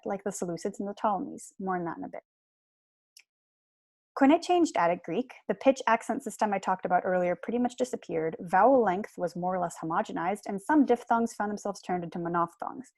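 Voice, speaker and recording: A woman's voice: medium pitch, darkish tone, no echo. Young woman lecturing, close dry sound. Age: young adult. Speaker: lecturer, PhD student. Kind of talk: prepared seminar lecture. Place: small room at home.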